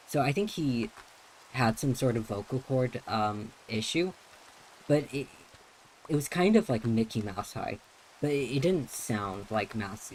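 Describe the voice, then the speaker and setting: A man's voice: mid-range voice, slight background noise, rough recording. Rambling young man with a feminine lilt. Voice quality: voice neutral leaning feminine, light feminine delivery. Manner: rambling, many restarts and filler words. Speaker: game streamer. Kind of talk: casual live-stream chat while playing.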